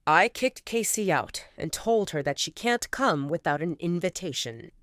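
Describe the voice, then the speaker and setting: Woman doing a stern alpha wolf guy, short guarded replies, low-ish voice, dry audiobook mic. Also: expressive, moderate pace, a bit bright, no echo. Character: stern young male alpha werewolf leader.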